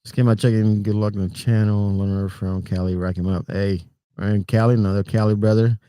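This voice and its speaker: A middle-aged Puerto Rican man with a low, mellow voice chats loosely on a livestream, greeting viewers and chuckling between thoughts. The sound is dry and close, and he sometimes drifts away from the mic. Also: brisk, smooth, dry recording. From Puerto Rico. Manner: relaxed, rambling, greets chat by name, chuckles often. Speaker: trucker livestream host. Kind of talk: casual livestream chat with viewers.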